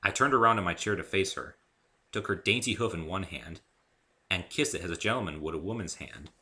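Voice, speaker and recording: A man's voice: low-pitched, brisk, bright, forceful tone. A man reading scripted first-person fiction as an amateur story narrator.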